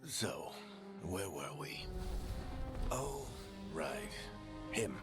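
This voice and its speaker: An actor playing a wry, roguish veteran storyteller in a video game, with a gravelly voice and dramatic pauses. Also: male voice, rumbling low, very animated, heavily acted. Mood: wry storytelling. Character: wry, roguish veteran storyteller, fond mentor to younger hero. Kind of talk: video game narration and cutscene dialogue. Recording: loud game music behind.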